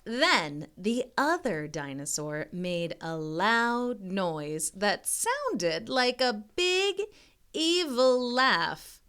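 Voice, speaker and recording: A woman's voice: mid-range voice, sharp tone, smooth. A woman reading a picture book aloud expressively, stopping for teacherly asides to the listener. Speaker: reading tutor.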